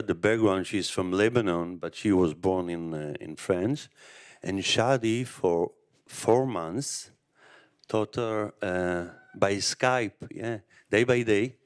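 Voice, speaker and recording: A film producer speaks in a post-screening discussion, taking his time. His voice is low and clean, with a resonant smoothness. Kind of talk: festival Q&A remarks.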